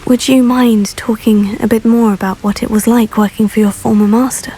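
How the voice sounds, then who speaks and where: A woman's voice: on the high side, steady tone, moderate pace, dark tone, a little hiss or hum, lightly acted. An actress voicing a curious young female student who asks polite, inquisitive questions in a video game. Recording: game audio with faint music.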